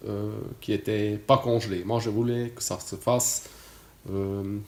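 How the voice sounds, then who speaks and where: A man's voice: fairly low pitch, flat delivery, a little slow, echoey room. A Swiss man in his late forties with a Swiss French accent, giving a casual testimonial, talking hesitantly with many fillers and restarts. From Switzerland. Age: 40s.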